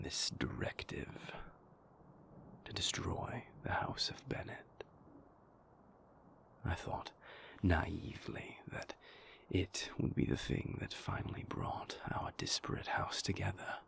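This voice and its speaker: Male actor as remorseful vampire lover, deep and breathy, measured formal confession, heavy fry, intimate audio drama. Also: animated, a bit bright, exaggerated voice. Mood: rueful, regretful. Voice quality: breathy, gravelly near-whisper. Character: brooding, remorseful male vampire boyfriend, former royal enforcer. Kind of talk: intimate audio roleplay monologue.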